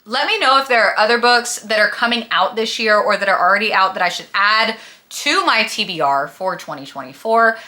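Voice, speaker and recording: A woman's voice: medium pitch, brisk, sharp tone. A young adult woman chatting casually and emphatically to camera as a book vlogger, with a gushing manner. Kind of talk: casual chat about books to camera.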